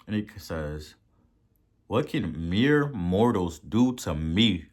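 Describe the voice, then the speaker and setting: A man with a Haitian accent hosting a podcast and giving a motivational talk, preachy, repeating words for emphasis and swelling to loud peaks. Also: low voice, big pitch swings, slow pace. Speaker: podcast host.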